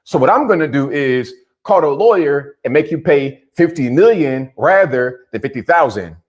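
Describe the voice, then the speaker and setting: A man's voice: a little high, expressive, normal speed. A man giving opinionated commentary on a news story, talking bluntly and directly. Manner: direct, addresses subjects bluntly.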